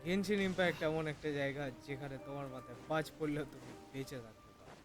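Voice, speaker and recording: A man's voice: a little high, racing, over music. A man with a Bengali accent giving casual gameplay commentary, mixing languages and chatting informally to viewers. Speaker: gaming video host.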